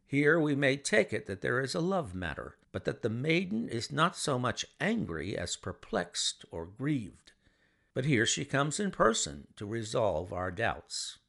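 A cool, shrewd detective who holds forth in a measured, precise voice with a gravelly texture and lively inflection, as a male narrator plays him. It is an audiobook. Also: mid-range voice, heavily acted. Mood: lecturing.

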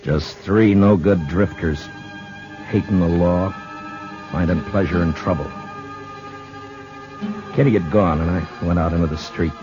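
World-weary marshal, very low voice, terse, firm, old radio Western, music behind. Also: male voice, measured pace, pauses often. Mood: somber, reflective. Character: stern, stubborn Old West lawman, world-weary narrator. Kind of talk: 1950s radio Western acting and narration. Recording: dull vintage radio recording, music behind.

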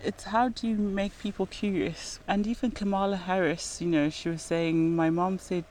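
A woman's voice: lowish voice, level tone, moderate pace, darkish tone, a little hiss or hum, poor audio. Zimbabwean woman, reflective podcast guest. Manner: earnest and reflective, breaks into laughter while telling stories. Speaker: guest, nurse and rock climber. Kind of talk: podcast interview conversation.

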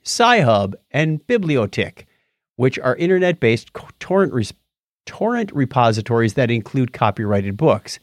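A man with a mid-range voice and lively, swooping intonation. He talks through news items casually as a podcast co-host, with a playful bantering manner. The sound is close and dry. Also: mid-range voice, dry recording. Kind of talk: casual conversational news discussion.

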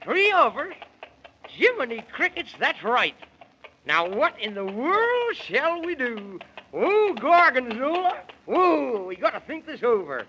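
An actor playing a jolly, fussy fantasy guide with folksy exclamations and singsong rhymes in a 1930s children's radio serial. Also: male voice, very high-pitched, very animated, slow pace, pushed and bright, exaggerated voice. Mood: alarmed. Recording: muffled, noisy 1930s radio recording.

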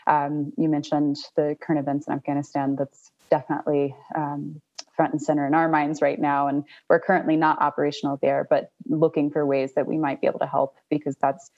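A young adult woman, a startup co-founder, giving measured explanatory answers in an interview. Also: deep voice, flat delivery, lo-fi sound. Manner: measured, explanatory, with small restarts.

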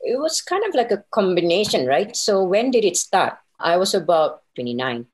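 A woman's voice: medium pitch, sing-song, normal speed, breathy edge, low-quality recording. A middle-aged woman being interviewed, telling her career story conversationally and voicing her own thoughts as little quoted asides. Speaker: interview guest, consultant and business owner.

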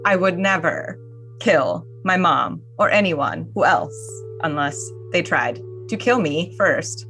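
A woman's voice: lowish voice, big pitch swings, slow pace, fairly bright tone, soft background music, full character voice. A woman playing a plain-spoken, wary young woman in a dramatic reading of text messages. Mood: wary, puzzled. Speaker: podcast cast reader.

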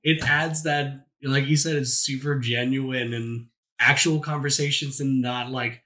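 A man in a casual podcast chat as a guest, telling stories in an animated, jokey, self-deprecating way. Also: medium pitch, unhurried.

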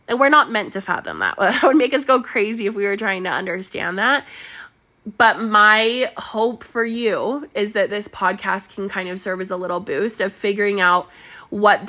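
A woman's voice: mid-range voice, pushed and bright. Bubbly stuffy-nosed woman podcaster. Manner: bubbly, chatty, lots of filler words and laughs. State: stuffy nose. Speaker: podcast host and mindset coach. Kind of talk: solo podcast chat.

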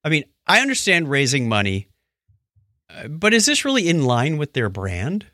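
A man's voice: a little high, huge pitch swings, normal speed, pushed and bright, no echo, studio quality. A man chatting casually about science news as a podcast co-host, with wry, joking asides.

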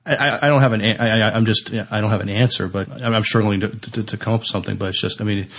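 A man in a casual podcast discussion, thinking aloud haltingly and often repeating his words. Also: on the low side, steady tone, gravelly, fairly bright tone. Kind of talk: casual discussion of TV science fiction.

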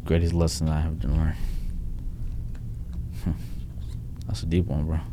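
A man's voice: very deep voice, monotone, a little slow, background noise. A young man talking as a real estate investor guest in a casual interview, blunt and plain-spoken, often restarting his sentences and dropping in "like" and "man.". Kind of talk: casual podcast interview talk.

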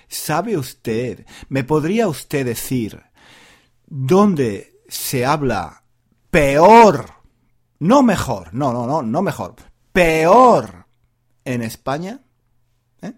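A man speaks as a teacher to language learners in an informal monologue. His voice sits slightly high and moves up and down with great animation. He keeps the pace slow, repeating phrases and throwing out rhetorical questions theatrically. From Granada, Andalusia, Spain. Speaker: Spanish teacher, podcast host.

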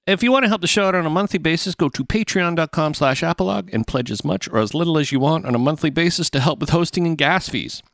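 A man's voice: a little high, animated, fast, creaky, hardly any pauses, a bit bright, no room sound, studio quality. A middle-aged man from England hosting a podcast, chatting casually with many fillers. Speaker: podcast host.

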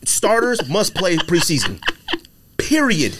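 A man's voice: sits high, huge pitch swings, slow pace, fairly bright tone, slight background noise. A man in a casual sports debate as podcast host, emphatic and opinionated, using slang and repeating himself to drive points home.

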